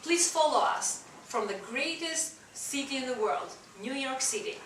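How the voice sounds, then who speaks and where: A woman interviewing a theater cast, gushing with praise and thanks. Her voice sits fairly high and sounds bright. She is heard from a distance in an echoey room. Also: lively intonation, measured pace. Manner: effusive, warm praise and thanks. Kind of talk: enthusiastic interview and sign-off. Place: small theater venue indoors. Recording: echoey room from far off, noise.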